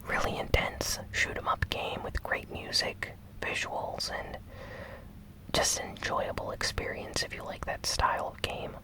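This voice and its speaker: This is an ASMR news host who speaks only in a soft, breathy whisper. The pace is very slow and measured, broken into short phrases. The delivery is gentle, in the style of a relaxed recap. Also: poor audio. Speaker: host of a gaming news show.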